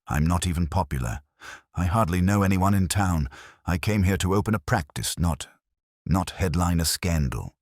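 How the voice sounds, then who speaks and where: A man voicing a frail, bewildered dentist with a hoarse, formal way of speaking, in an audiobook mystery. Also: sits low, lively intonation, normal speed, dry recording, studio quality. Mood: weary, bewildered. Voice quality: hoarse, croaking. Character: frail, bewildered male dentist, an anxious scapegoat.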